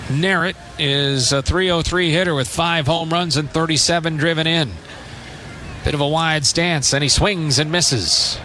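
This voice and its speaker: An American man doing live radio play-by-play of baseball in a measured, descriptive way, with short phrases and pauses. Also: on the high side, background noise. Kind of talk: live radio sports commentary.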